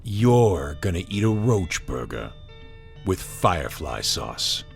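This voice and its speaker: A man's voice: big pitch swings, slow, creaky, soft background music, heavily acted. A man playing a big, intimidating wolf tough guy in an audio drama, speaking with territorial, drawling menace. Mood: suspicious, incredulous. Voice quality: deep, low voice. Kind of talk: audio drama character acting.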